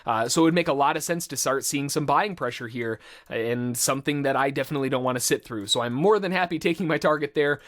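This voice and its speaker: A man's voice: mid-range voice, fast, fairly bright tone. A man working as a trading instructor, giving informal, explanatory live commentary full of trading jargon in a conversational way.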